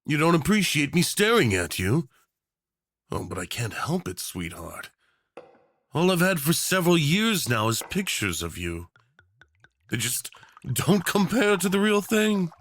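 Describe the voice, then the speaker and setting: A man's voice: fairly high pitch, big pitch swings, measured pace, pauses often, a bit bright, voice pushed up, exaggerated voice. A man playing a doting, unhinged rich tyrant in an obsessive romance roleplay, theatrical and saccharine, teasing with pet names. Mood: adoring. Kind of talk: yandere ASMR roleplay acting.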